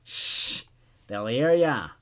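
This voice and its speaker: A man's voice: medium pitch, animated, extremely slow, fairly bright tone. A man voicing a sinister mannequin that talks in a slangy, cajoling, conspiratorial way for a horror story. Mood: hushed urgency.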